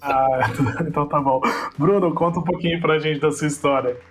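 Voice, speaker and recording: A man hosting a podcast in an upbeat, friendly way with quick asides. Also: on the high side, fairly even intonation, a little hiss or hum. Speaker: podcast host.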